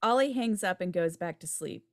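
A woman's voice: fairly low pitch, lively intonation, normal speed. A woman reading scripted stage directions at a table read over a video call. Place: indoor room, video call. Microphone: video call microphone.

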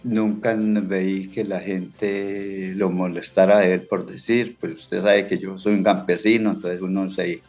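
An older man speaks slowly and haltingly, his fairly low voice stopping and restarting as he tells his own story. The sound is dull and lo-fi, as if from a video call in a small room. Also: level tone, darkish tone, soft background music. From Colombia. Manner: slow, hesitant, plain-spoken, with many restarts. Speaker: interviewee, evicted farmer. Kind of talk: halting personal account.

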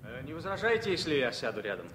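An actor playing a talkative, wry former military pilot in his thirties, speaking in a halting, informal way in a radio drama. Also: mid-range voice, sing-song, fairly bright tone, a little hiss or hum. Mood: casual, probing. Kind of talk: Soviet radio drama acting.

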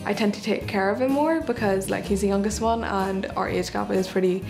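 A teenage girl talks in an easy, chatty way, dropping in a casual "you know" here and there. Her voice sits at a medium pitch. Clear guitar music plays under her words. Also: a little fast. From Malaysia, raised in Northern Ireland. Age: teens. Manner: chatty, relaxed, uses fillers like "you know". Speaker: interviewee, daughter. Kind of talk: documentary interview. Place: home living room.

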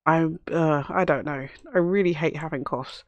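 Hoarse woman gamer, casual running commentary, chats to her game characters, dry close sound. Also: low-pitched, normal speed, dry recording, dull audio. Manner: chatty, talks to the game characters. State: hoarse from a cough. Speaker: let's play host.

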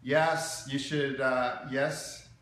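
A man's voice: mid-range voice, steady tone, drawn-out words, fairly bright tone. Male livestream host, 40s, jovial and chuckly, casual chat, heavy room echo. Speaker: host of a live piano request show. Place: living room beside a grand piano. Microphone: phone or camera a few feet away. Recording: very echoey room, faint background noise.

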